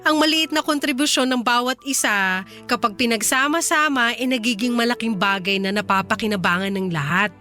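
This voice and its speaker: A woman's voice: on the high side, brisk, bright, forceful tone, faint music behind. A woman acting a kind, reassuring company boss in a Filipino radio drama, earnest and composed, with a Filipino accent. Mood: earnest, appreciative.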